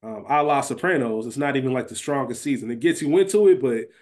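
Casual low-key man hosting a podcast. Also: quick pace, darkish tone. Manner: informal, slangy, conversational. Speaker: podcast host. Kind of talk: casual podcast chat about television.

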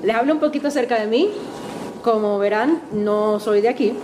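Chatty Venezuelan woman, higher voice. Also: normal speed, background noise. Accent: Venezuelan Spanish. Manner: chatty, self-deprecating, with light laughs. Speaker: guest speaker. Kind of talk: lecture talk to a class. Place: university auditorium.

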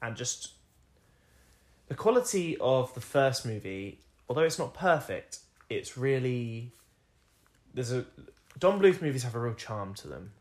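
Man, croaky voice, casual podcast chat, rambling, mid-pitched. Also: measured pace, long pauses. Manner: relaxed, rambling, frequent ums and laughs. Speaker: podcast co-host. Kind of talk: casual film review chat.

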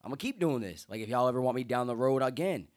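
An earnest young man in casual conversation. He talks as a guest, and his mid-range voice climbs into loud, excited stretches when he gets fired up. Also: medium pitch, fast. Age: young adult.

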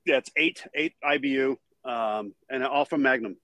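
Male guest brewmaster, mid-range voice, halting and hesitant, dry close recording. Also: mid-range voice, fairly bright tone, no room sound. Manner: hesitant, lots of uh and um while recalling numbers. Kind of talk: casual interview answers.

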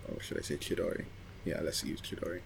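A man co-hosting a podcast in casual chat, rambling and pausing as he recalls details. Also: extremely low pitch, little pitch movement, creaky, slight background noise. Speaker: podcast co-host. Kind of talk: casual chat about anime.

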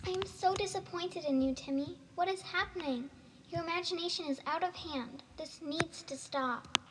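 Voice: very high-pitched, moderate pace. A performer playing a stern, practical mother in an amateur radio drama. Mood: stern, disappointed. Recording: noisy, long reverberant hall sound.

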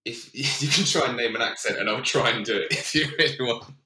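A man's voice: medium pitch, brisk, pushed and bright. A man speaking as a podcast guest in casual chat, self-deprecating and laughing as he talks. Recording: roomy echo.

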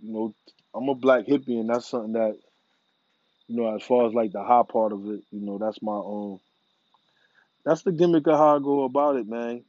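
A man's voice: mid-range voice, moderate pace, pauses often, a little mellow, dry recording, dull audio. A man in a casual, rambling monologue, laid-back and profane, full of slang. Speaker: vlogger. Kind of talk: casual monologue about smoking.

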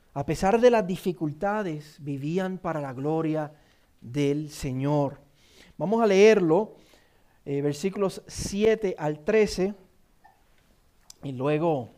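Male pastor, Puerto Rican Spanish accent, high-pitched, emphatic sermon delivery. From Puerto Rico. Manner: emphatic preaching, repeats key phrases, drops low and soft in prayer. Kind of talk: sermon with scripture reading and prayer.

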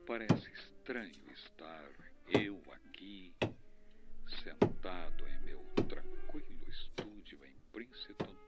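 A solemn Brazilian man narrates an old-style radio drama, performed by an actor. His delivery is slow and theatrical, with sweeping pitch movement. Loud music plays behind him. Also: exaggerated voice. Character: solemn male radio narrator recalling a devastating invasion.

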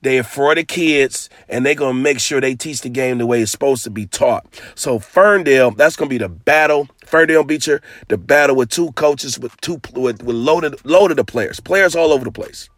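A man with a cold-roughened, raspy voice hosting a casual basketball podcast, talking loose and hyped with plenty of slang. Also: medium pitch, normal speed, few pauses, no room sound, clean studio sound. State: hoarse from a cold. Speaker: podcast host. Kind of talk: casual sports podcast talk.

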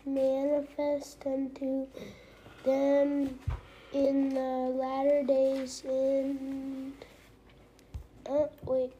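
A child with a high, very bright voice reads scripture aloud in a halting way, sounding out the words. The delivery is very slow and fairly flat, with faint noise behind it. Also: poor audio.

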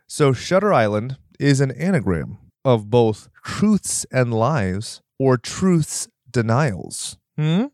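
A man hosting a horror movie podcast, casual and jokey, full of quick playful asides. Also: mid-range voice, very animated, a little mellow, dry recording, studio quality. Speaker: podcast host.

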